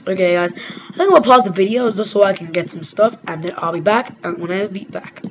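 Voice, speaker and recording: A woman giving rambling, excitable let's-play commentary over her gameplay, talking straight to her viewers. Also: mid-range voice, fairly quick, slight background noise. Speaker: gaming commentator. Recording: dull lo-fi mic with faint music.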